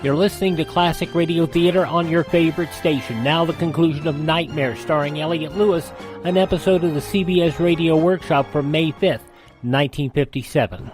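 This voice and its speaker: A man's voice: a little high, steady tone, brisk, over music. An American man reading a scripted radio promo as an announcer.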